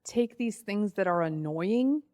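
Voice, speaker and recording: A woman co-hosting a podcast, telling news stories in a sarcastic, quick, animated way. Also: medium pitch, dry recording. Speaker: podcast co-host. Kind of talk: casual podcast chat.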